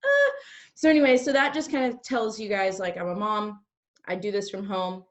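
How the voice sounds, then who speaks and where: A woman's voice: medium pitch, lively intonation, normal speed, highs cut off. Woman, early 30s, mid-range voice. Team leader giving a casual, chatty motivational talk to an online group. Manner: chatty, peppered with "like". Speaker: direct-sales team leader co-hosting a training.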